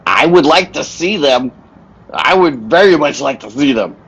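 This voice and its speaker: A man playing a sad, quietly intense circus clown in an old-time radio play, husky and solemn in a deliberate way. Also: a little high, expressive, exaggerated voice, rough recording. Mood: grave.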